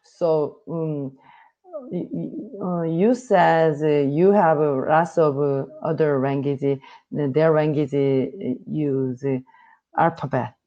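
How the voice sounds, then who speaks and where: Korean woman, 30s, English learner, slow and low-pitched, searching for words, slightly dull video-call audio. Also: steady tone. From South Korea. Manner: halting, with frequent uh fillers and searching for words. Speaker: English student. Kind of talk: casual online English conversation practice.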